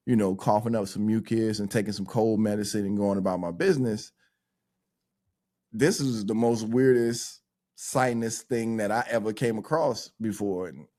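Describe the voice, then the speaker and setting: Low-voiced man rambling on a podcast. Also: warm tone. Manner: loose, rambling talk full of "like" and "um". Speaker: podcast host. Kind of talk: casual podcast chat.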